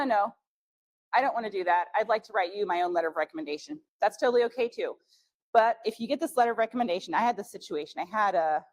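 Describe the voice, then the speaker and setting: A woman's voice: medium pitch, a little mellow, low-quality recording. A young woman whose first language is German, giving casual, frank advice at a scholarship workshop with plenty of fillers. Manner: chatty, frank, many fillers.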